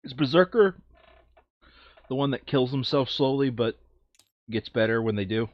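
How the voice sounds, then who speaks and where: A man's voice: mid-range voice, moderate pace, no echo, lo-fi sound. A man chatting casually and thinking aloud while he plays a board game. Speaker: board game player on a playthrough video.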